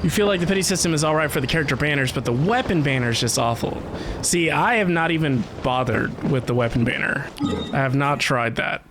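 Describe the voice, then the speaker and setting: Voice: mid-range voice, a little fast, noisy background. A game streamer, chatting informally and casually during a livestream. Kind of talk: casual livestream chat while gaming. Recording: game music behind the voice.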